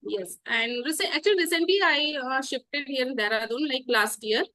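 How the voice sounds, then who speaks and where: High, bright North Indian woman learning English. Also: no room sound. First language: Hindi. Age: 30s. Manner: halting learner's English, giggly. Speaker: guest, English-learning YouTuber. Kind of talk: casual conversational interview.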